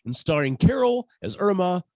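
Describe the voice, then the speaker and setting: A man's voice: sits high, very animated, normal speed. A man announcing a sponsored radio comedy in a 1940s broadcast style.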